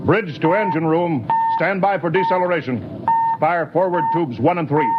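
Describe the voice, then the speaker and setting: An actor playing a calm, commanding male rocket ship captain in a 1950s radio drama, giving steady orders. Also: a little high, moderate pace, very noisy, lightly acted. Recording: dull lo-fi 1950s radio recording.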